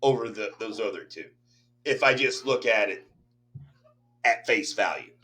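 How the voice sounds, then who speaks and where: A man co-hosting a fantasy football podcast, chatting casually and thinking aloud with pauses. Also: on the low side, sharp tone. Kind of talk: casual chat.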